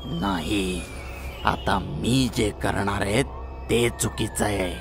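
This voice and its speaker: A man playing the menacing ghost of a wronged student in a horror story, taunting with a gravelly voice. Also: on the low side, slow pace. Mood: gloating menace. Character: vengeful young male ghost of a wronged student. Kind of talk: dramatised horror story acting. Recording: loud music behind the voice.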